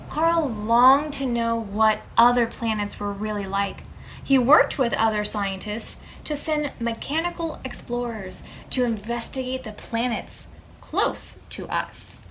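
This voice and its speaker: Slow, high-voiced woman reading to preschoolers. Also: a little hiss or hum, poor audio. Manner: expressive, with questions to young listeners and drawn-out words. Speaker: librarian storytime host. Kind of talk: animated picture-book read-aloud for preschoolers.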